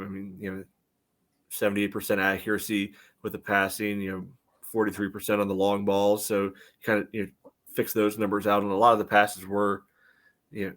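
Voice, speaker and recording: Measured, lowish-voiced man analysing sport. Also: a bit bright. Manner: measured, reasoning, hedges with "you know". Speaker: co-host of a soccer fan podcast. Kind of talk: casual match analysis.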